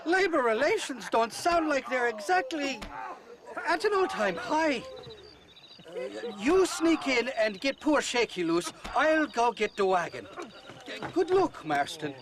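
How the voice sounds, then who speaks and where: An actor playing a shifty, boastful and cowardly Irish outlaw with a glib, wheedling, joking way of talking. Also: male voice, extremely high pitch, big pitch swings, a little slow, crowd noise, full character voice. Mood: sly, wary. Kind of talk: video game cutscene acting. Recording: noisy outdoor game sound effects.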